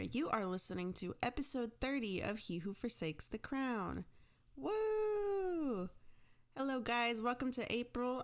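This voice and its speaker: A woman hosting her audio drama podcast, chatting casually to listeners in a chirpy, informal, self-deprecating way. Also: on the high side. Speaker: podcast host and show creator.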